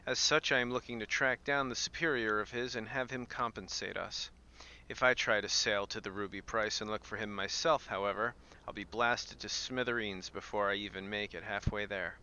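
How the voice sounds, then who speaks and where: A man's voice: fairly low pitch, fairly even intonation, normal speed, sharp tone, clean tone, dull audio. A man narrating his video game livestream and reading quest text aloud. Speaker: game streamer. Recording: band-limited sound, game music behind. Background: video game music.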